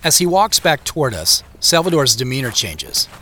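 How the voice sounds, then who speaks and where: An actor playing an observant, wistful grown man, narrating his story in a measured first person for an audio drama. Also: mid-range voice, big pitch swings, pushed and bright. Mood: quietly observant. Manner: measured, descriptive. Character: Joseph, observant, wistful grown man back visiting a farm.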